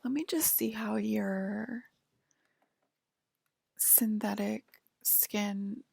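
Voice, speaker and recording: Woman doing an alien lady asmr exam, super creaky breathy whisper, slow and halting, soft clinical vibe, really dry close sound. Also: mid-range voice, steady tone, no echo, full character voice. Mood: calm, clinical. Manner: halting, hesitant, gently official. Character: soft, clinical female alien abductor examining a human.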